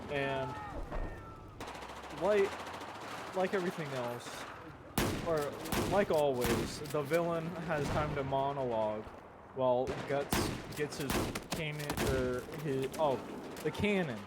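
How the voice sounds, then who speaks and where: A man casually retelling a story, halting with lots of fillers, restarts and swearing while he plays a game. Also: on the high side, very noisy. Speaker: storyteller summarizing a manga. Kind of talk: casual rambling story recap. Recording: loud video game gunfire behind voice. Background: game gunfire and explosions.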